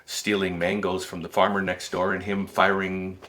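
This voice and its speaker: A man reminiscing in a relaxed storytelling way during an interview. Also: deep voice, moderate pace. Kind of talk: interview recollection.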